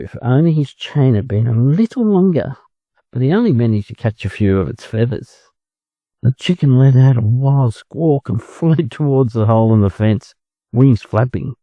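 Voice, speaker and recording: Australian male storybook narrator, mellow tone, lively range, close dry sound. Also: medium pitch, dry recording. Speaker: storybook reader. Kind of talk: expressive children's story narration.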